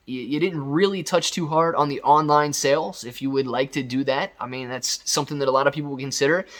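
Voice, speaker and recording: A man hosting a casual, off-the-cuff news recap, talking straight to viewers in an emphatic, enthusiastic, conversational way. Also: a little high. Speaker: video host recapping company news.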